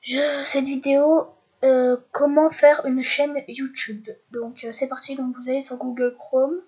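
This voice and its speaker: A woman's voice: high-pitched, flat delivery, measured pace, a little mellow, husky, lo-fi sound. A young woman from France with a cold, talking through a screen-recorded tutorial, hesitant and full of filler sounds and restarts. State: stuffy with a cold. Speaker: YouTuber.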